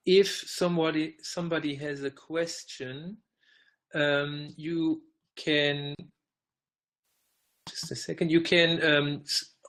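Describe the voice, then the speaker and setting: Man presenting haltingly on a conference call. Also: on the high side, little pitch movement, fairly bright tone. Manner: halting, hesitant phrasing. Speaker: project meeting presenter. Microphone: computer microphone on online call.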